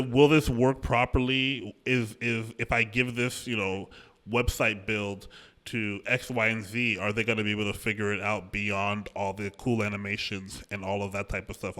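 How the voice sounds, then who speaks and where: Bright-voiced man hosting a casual talk. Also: fairly low pitch. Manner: relaxed, storytelling, gestures while talking. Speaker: podcast host. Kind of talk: casual conversational interview. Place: small office room indoors. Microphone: studio microphone close up.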